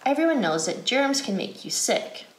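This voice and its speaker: An American woman reading a picture book aloud to children as an educator, phrasing deliberately in a guided read-aloud style. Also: on the high side, sing-song, slight background noise. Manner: deliberate, clearly phrased, asks questions. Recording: roomy indoor echo.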